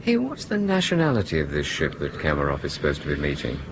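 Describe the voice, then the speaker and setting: A man acting in a radio drama as a calm, reasonable airline crewman with a measured, mild manner. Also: a bass voice, heavily acted. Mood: calm, curious. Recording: clear background noise.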